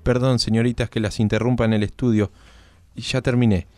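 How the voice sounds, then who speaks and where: A man's voice: mid-range voice, level tone, a little fast, warm tone, no room sound, studio quality, natural voice. A man playing a shy, polite young Argentine gardener in a radio drama, formal and withdrawn. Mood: polite, reserved.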